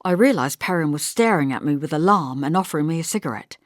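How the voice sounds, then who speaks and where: Female audiobook narrator, fairly low pitch, expressive storytelling, dry close sound, scripted literary reading. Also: fast, no room sound.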